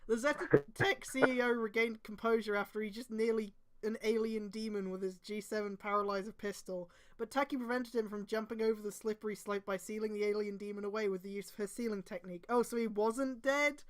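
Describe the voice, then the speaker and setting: A woman's voice: medium pitch, steady tone, brisk, hardly any pauses. A woman reading fan fiction aloud on a stream, breaking in with exasperated, casual, sweary commentary.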